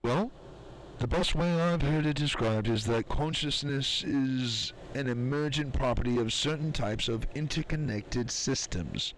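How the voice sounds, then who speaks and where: A man acting as a thoughtful, philosophical scientist in an audio drama, speaking in a measured, explanatory, reflective way. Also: medium pitch, slight background noise, natural voice, low-quality recording.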